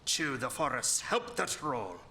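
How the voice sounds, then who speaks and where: A man's voice: mid-range voice, big pitch swings, a little slow, vocal fry, pushed and bright, exaggerated voice. A man playing a grumpy, scheming troll who chants curses in a radio play. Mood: commanding, cursing.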